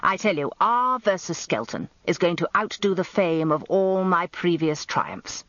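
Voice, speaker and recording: Pompous old British barrister. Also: male voice, high-pitched, lively intonation, a little slow, a bit bright, no echo, muffled recording, exaggerated voice. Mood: boastful. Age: older. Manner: grandiloquent, plaintive, self-important. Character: Rumpole, pompous, grumbling old barrister husband. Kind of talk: radio drama acting.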